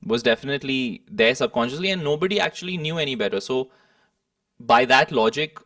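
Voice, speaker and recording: A man's voice: medium pitch, lively intonation, a little fast, a bit bright, clean studio sound. A man from India with an Indian accent talks casually and reflectively as an interviewed musician, discursive and thoughtful, often adding "like" and "you know". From Kolkata, India. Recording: roomy, slightly distant microphone.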